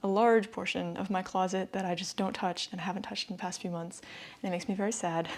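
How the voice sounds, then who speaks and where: A woman's voice: medium pitch, a little mellow. Chatty American woman sewing vlogger. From northeastern United States. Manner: chatty, laughs often between phrases. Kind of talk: casual vlog talk to camera.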